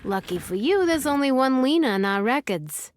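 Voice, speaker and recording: A woman's voice: sits high, very animated, normal speed, no echo, clean studio sound. A woman playing a jaded, impatient records clerk in a game, curt and sarcastic. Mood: irritated, bored. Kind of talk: video game character acting.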